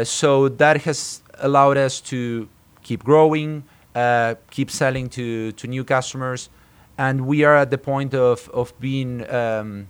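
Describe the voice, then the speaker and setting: Halting man from Argentina in an interview. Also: medium pitch, clear, resonant tone. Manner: halting, hesitant phrasing with repeated words. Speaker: startup co-founder being interviewed. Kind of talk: interview answers.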